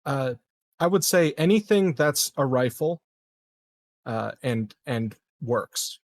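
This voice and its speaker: A man playing a tabletop game, talking casually and informally in halting phrases. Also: medium pitch, expressive, no room sound. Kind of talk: casual chat.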